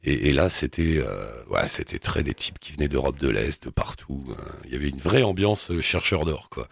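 A man hosting a radio show, asking relaxed, chatty questions at a studio mic. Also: rumbling low, normal speed, vocal fry, slightly rough, no room sound. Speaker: radio host. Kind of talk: radio interview.

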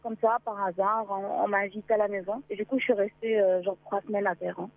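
A woman's voice: mid-range voice, fairly even intonation, quick pace. A woman from Morocco, calling a radio show by phone from inside a parked car and talking hesitantly with many fillers. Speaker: caller, travel blogger. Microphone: mobile phone. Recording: tinny phone line.

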